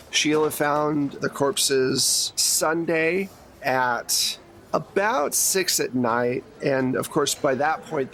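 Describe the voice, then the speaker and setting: A man with an average-pitched voice acts as game master for a tabletop roleplaying session. He narrates in a casual, informal way and slips in joking asides, with noticeable noise behind him. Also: a bit bright. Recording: clear background noise.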